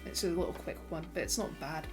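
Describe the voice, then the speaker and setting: Lower-pitched woman chatting over music. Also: quick pace. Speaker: podcast host, interviewer. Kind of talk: casual chat.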